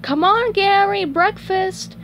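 A woman voicing a cheerful, eager, childlike male sea-sponge fry cook in a storybook reading, exuberant and affectionate with a sing-song delivery. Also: extremely high pitch, lively intonation, moderate pace, slight background noise, pitched above the performer's own voice.

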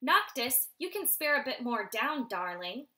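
A woman voicing an excited, fussing mother barn owl in a storybook read-aloud. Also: high voice, a little slow, voice pushed up, exaggerated voice. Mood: tender.

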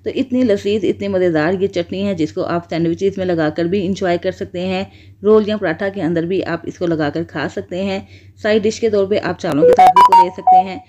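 A woman, calmly walking viewers through a home cooking recipe step by step. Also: fairly low pitch, fairly quick. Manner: patient, repetitive step-by-step instruction. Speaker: cooking channel host. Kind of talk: voice-over cooking tutorial.

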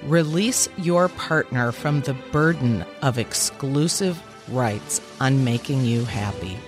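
Woman in her 50s doing a pretend commencement speech, slow n deliberate, music playing under it. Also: a bass voice. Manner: measured, deliberate delivery with emphatic pauses. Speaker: podcast host.

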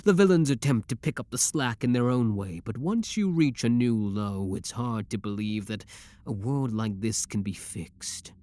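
A man playing an obsessive, menacing young villain with cold devotion, speaking deliberately and theatrically in a scripted ASMR roleplay. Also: mid-range voice, dark tone, close to an everyday voice. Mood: brooding resolve.

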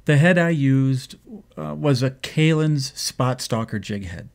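A man in his fifties, hosting a fishing video, explains his tackle choices in a measured, thoughtful way with frequent ums and uhs, filmed indoors at a desk. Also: medium pitch, animated, gravelly. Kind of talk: instructional fishing talk. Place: indoor home office.

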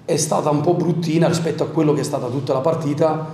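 A man in his forties, an assistant football coach, answering questions at a post-match press conference into a desk microphone, measured and thinking aloud with many fillers. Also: fairly high pitch, fairly even intonation, mellow. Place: press room in front of a sponsor wall. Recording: roomy press room with crowd noise.

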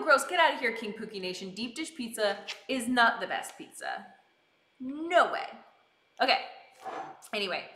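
A woman hosts a casual livestream in a bubbly, giggly manner. Her voice is fairly high and very bright, and she calls out greetings to viewers. The room around her is noticeably echoey. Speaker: live streamer hosting a cooking stream. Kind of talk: casual chat with live viewers. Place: indoors in a kitchen. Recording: roomy, echoing indoor sound.

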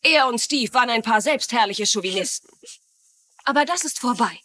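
Bitter, domineering woman, sharp and high. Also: lively intonation, moderate pace. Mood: bitter, scornful. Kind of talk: dubbed TV mystery acting.

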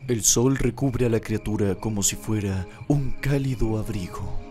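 A man's voice: lowish voice, normal speed. Mock-solemn Latin American man over music. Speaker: narrator and fandubber. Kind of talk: mock nature documentary narration. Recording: music loud behind narration.

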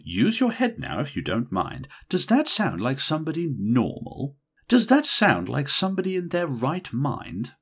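A man reading an audiobook, voicing a brash, irritable young woman in a bridesmaid's role who talks in a shrill, insistent way. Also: sing-song, normal speed, slightly rough. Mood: shrill, indignant. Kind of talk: audiobook character voice.

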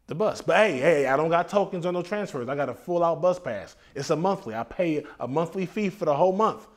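A man's voice: on the high side, animated, brisk, close to an everyday voice. An American man in his thirties playing a laid-back, brazen late-night hookup guy in a comedy sketch, talking in street slang. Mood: indignant. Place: furnished indoor room, filmed sketch.

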